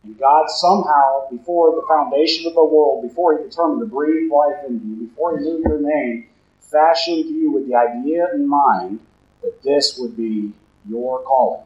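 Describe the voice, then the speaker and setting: Deep-voiced deacon, low-key conversational sermon, roomy and slightly muffled sound. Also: male voice, normal speed. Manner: low-talking, conversational preaching with questions to the congregation. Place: church. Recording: roomy, slightly dull church recording.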